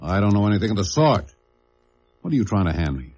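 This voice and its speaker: A man playing a gruff, proud older factory foreman, curt and defensive, in a mid-century radio drama. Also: on the low side, very animated, quick pace, darkish tone. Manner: curt, tough-guy talk. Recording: dull old radio recording.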